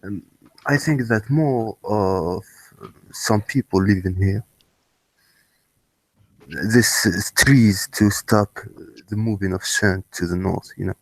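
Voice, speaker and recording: A man from Algeria with an Algerian accent, calling in to a casual online show and speaking in halting, simple phrases. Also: lowish voice, dry recording, low-quality recording. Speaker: caller. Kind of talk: casual call-in chat.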